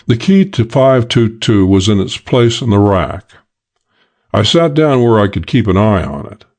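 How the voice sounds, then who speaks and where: Low-voiced man narrating detective fiction. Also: sing-song, unhurried, darkish tone. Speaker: audiobook narrator.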